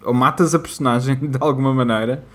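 A young man with a European Portuguese accent speaks in a halting, casual way, full of false starts and easy laughter. His voice sits at an ordinary middle pitch for a man. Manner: hesitant, laughs often, casual swearing. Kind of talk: casual chat.

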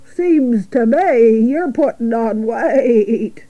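A woman's voice: fairly high pitch, expressive, warm tone, muffled recording, full character voice. A woman voicing a blunt old female sheep in a children's storybook, drawing out her words matter-of-factly. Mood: ominous, blunt. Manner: slow, drawn-out, matter-of-fact delivery.

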